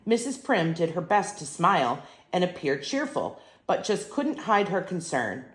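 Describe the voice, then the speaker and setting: An older woman reading a children's storybook aloud as a grandmother would, in an expressive, emphatic way. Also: lowish voice, normal speed, roomy sound.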